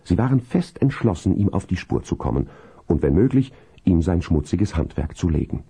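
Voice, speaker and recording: An actor narrating a children's radio play as a storyteller, in scripted, measured narration. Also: male voice, sits low, sing-song, vocal fry, warm tone, breathy edge, heavily acted. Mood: measured storytelling. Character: calm male narrator of a children's horse story. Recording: 1970s vinyl radio-play recording.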